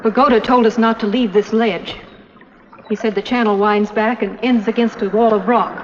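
A woman's voice: fairly high pitch, fairly even intonation, moderate pace, natural voice. An actress playing an anxious young female refugee in 1950s drama style. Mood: cautious. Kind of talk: 1950s television drama acting. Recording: echoey, muffled, noisy vintage soundtrack.

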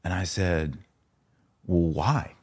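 A man's voice: low-pitched, takes it slowly, a little mellow. A man hosting a podcast, telling stories in a casual, informal way and acting out both sides of remembered conversations. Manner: informal, recounts dialogue in quotes. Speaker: podcast host.

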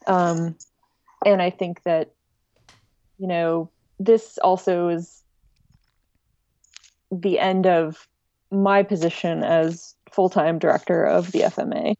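Female interview guest. Soft mellow tone, informal and wry, dry but slightly dull lo-fi sound. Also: on the low side, fairly even intonation, takes it slowly, pauses often, no room sound. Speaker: interview guest, director of an online music archive. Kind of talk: casual spoken interview.

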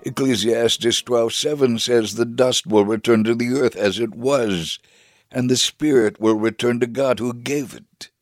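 A man giving a dramatic reading as a devout Holocaust survivor telling his story, measured, solemn and earnest. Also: medium pitch, steady tone, no room sound, studio quality, soft background music, lightly acted. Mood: solemn, assured. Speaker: dramatic reader. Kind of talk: dramatic first-person memoir reading.

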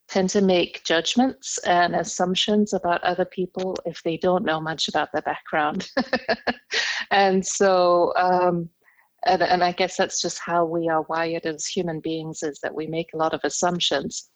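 Woman, Indonesian first language, low-pitched, dry close sound, reflective, measured. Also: fairly even intonation, no echo. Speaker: interview guest, communication skills trainer. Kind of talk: podcast interview storytelling.

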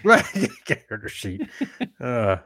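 Lowish-voiced middle-aged man, dry close sound. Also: big pitch swings, slow pace, fairly bright tone, no echo. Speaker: podcast co-host. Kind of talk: casual chat.

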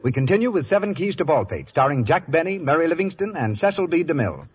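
A man announcing a radio program in a smooth, formal, scripted delivery. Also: a little high, big pitch swings, fast talker, very noisy. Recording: dull 1930s radio broadcast with faint music.

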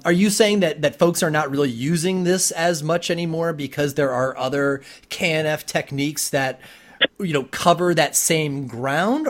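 A man hosting a conversational interview, talking in a wordy way with stammered restarts. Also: a little high. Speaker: podcast host.

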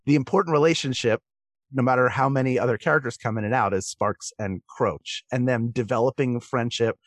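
A man with a mid-pitched voice talks as a podcast guest in a dry, close recording. He speaks casually and analytically, earnest and emphatic as he makes his case. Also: fairly quick, no echo.

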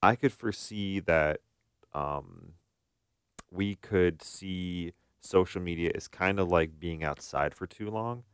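A man's voice: deep voice, takes it slowly, clear, resonant tone, dry recording, studio quality. A man co-hosting a talk show as a therapist, discussing informally and thinking aloud as he goes. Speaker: podcast co-host, therapist. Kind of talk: casual discussion.

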